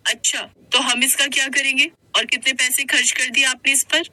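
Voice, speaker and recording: A woman playing a practical, hopeful young housewife and mother in a Hindi moral-story cartoon, with an expressive, questioning manner. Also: high-pitched, moderate pace, dry recording, rough recording. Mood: curious, wary.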